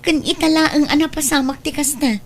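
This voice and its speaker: A woman acts the matter-of-fact mistress of a household in a radio drama. Her voice is fairly high with an Ilocano accent, over faint background noise. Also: steady tone, brisk. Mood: reassuring, doubtful. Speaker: drama actor.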